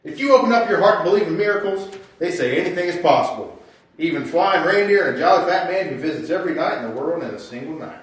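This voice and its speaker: A man's voice: fairly high pitch, lively intonation, fast, far from the mic, lightly acted. A man playing a bickering husband and preachy father in an old-time radio style Christmas sketch, with grandiose theatrical delivery. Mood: solemn, grandiose. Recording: very echoey room, off mic.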